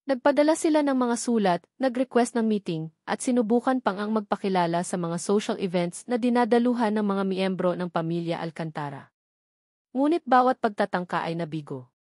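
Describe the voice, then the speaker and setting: A woman's voice: medium pitch, lively intonation, a little fast, a little mellow, no room sound. Woman narrating a dramatic story, close dry recording.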